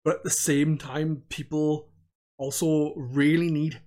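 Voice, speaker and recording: A young man with a Welsh accent talks loosely and rambles, laughing often between thoughts. His voice sits a little high for a man. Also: fairly even intonation, slow, warm tone. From Wales. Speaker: podcast host. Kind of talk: casual chat.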